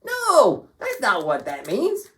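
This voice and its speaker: A performer voicing a cheerful, excitable puppet host in a scripted children's Bible show, explaining things playfully. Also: mid-range voice, slow pace, full character voice.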